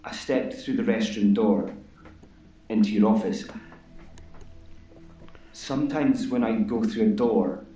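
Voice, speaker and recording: A man's voice: fairly low pitch, mellow, soft background music, natural voice. A man playing a nervous, apologetic door-vanishing patient. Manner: hesitant, apologetic, halting. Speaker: patient in a game. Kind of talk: full-motion video game acting. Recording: roomy game audio from a distance.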